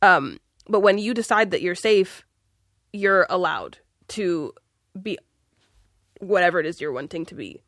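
A woman's voice: lowish voice, expressive, measured pace, fairly bright tone, no room sound, studio quality. A woman in casual conversation, explaining earnestly in bursts with fillers.

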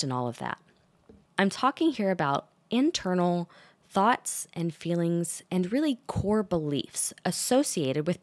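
A woman hosting a solo podcast, talking in a calm, reflective and measured way. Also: fairly low pitch, dry recording. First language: English. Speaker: podcast host.

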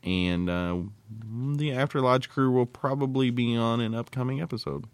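A man's voice: mid-range voice, moderate pace, smooth, no echo. An American man co-hosting a casual podcast, talking in a blunt, crude, colloquial way. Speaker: podcast co-host.

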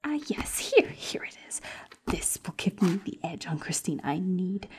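A woman's voice: lowish voice, big pitch swings, a little slow, darkish tone, breathy edge, heavily acted. A woman playing a scheming, mock-sweet villain in an audio drama, theatrical and gloating, drifting into whispers. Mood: sly, whispering.

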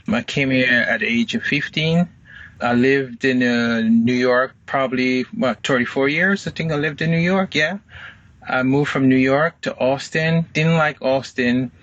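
Guest, middle-aged man, Guyanese accent, relaxed storyteller, bright tone. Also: medium pitch, unhurried, no echo, muffled recording. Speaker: guest, photographer. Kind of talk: casual interview answers.

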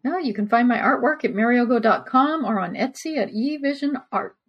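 A woman's voice: fairly high pitch, fairly quick, husky. A woman co-hosting a podcast in casual chat, on a slightly dull recording. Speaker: podcast co-host.

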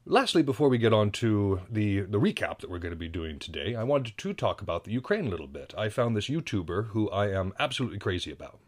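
A man's voice: on the low side, very animated, fast talker, hardly any pauses. An American man hosting a solo podcast, talking casually and rambling with self-deprecating asides and frequent restarts. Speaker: podcast host. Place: indoors at home.

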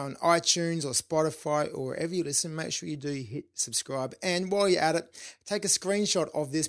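A man with a fairly high voice talks through his podcast episode on his own, upbeat and informal. He sounds slightly hoarse, as if losing his voice. The sound is dry and close to the mic. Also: dry recording. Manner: upbeat, informal, with fillers and restarts. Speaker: podcast host. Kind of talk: solo podcast monologue.

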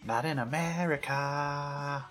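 A woman in her thirties discussing albums casually as a podcast co-host, giving measured, considered opinions. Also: deep voice, fairly bright tone, soft background music.

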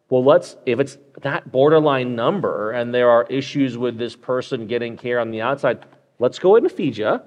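Male podcast host, conversational clinician explaining cases, average pitch, a bit muffled live recording. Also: a little mellow. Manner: conversational, lots of fillers and check-ins.

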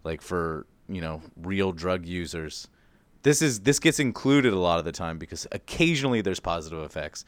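A man's voice: deep voice, expressive, normal speed, no echo. Casual man explaining things, clean dry sound. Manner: informal, loose, self-deprecating, mild slang. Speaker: podcast co-host presenting research.

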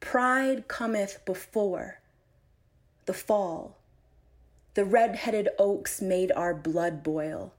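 A woman poet reading her own work aloud in a measured, deliberate recitation, phrase by phrase with pauses. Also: mid-range voice, a little mellow.

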